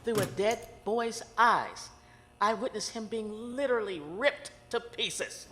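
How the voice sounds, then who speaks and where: An actress playing a defiant, solemn psychic medium in an audio horror drama, speaking with theatrical emphasis. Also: female voice, medium pitch, animated, a little slow, fairly bright tone, a little hiss or hum. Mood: grave, intent. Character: Southern woman psychic medium, defiant and solemn, aiding investigators.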